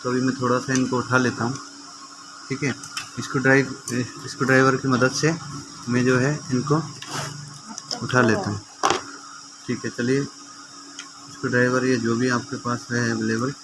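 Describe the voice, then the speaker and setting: A man's voice: medium pitch, flat delivery, moderate pace, pauses often, noisy background. A man presenting an informal how-to demonstration, explaining step by step in a conversational way and addressing viewers like friends. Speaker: repair tutor presenting a how-to video.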